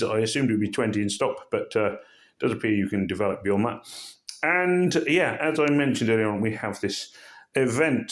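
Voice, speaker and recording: A hoarse, croaky man with a cold, giving rambling, weary commentary on a mobile game he is playing. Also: medium pitch. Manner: rambling, weary, self-deprecating. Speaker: game channel host. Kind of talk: casual gameplay commentary.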